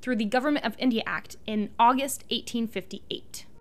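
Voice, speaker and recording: A woman co-hosting a scripted history podcast, explaining facts in a brisk way. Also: fairly high pitch. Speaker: podcast co-host.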